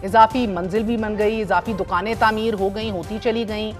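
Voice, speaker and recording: A woman's voice: medium pitch, steady tone, normal speed, faint music behind. A woman, hosting a news talk show, delivering scripted commentary with indignant, pointed rhetorical questions.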